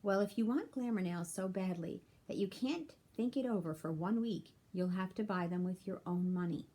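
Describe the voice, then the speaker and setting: A woman voicing a practical, calm mother who reasons firmly with her child in a children's storybook. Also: medium pitch, moderate pace, close to an everyday voice.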